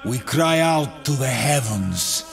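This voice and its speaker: Gravelly, battle-weary warrior. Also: male voice, mid-range voice, expressive. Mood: solemn defiance. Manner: solemn, measured, weighty. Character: grim, defiant, battle-weary male warrior. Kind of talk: solemn video game monologue. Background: faint music.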